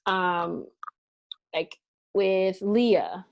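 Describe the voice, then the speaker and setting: A woman in her thirties hosts a podcast with a mid-pitched voice. She asks her questions in an easygoing way, padded with fillers and restarts. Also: extremely slow, gravelly, a little hiss or hum. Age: 30s. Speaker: podcast host. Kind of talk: casual interview chat.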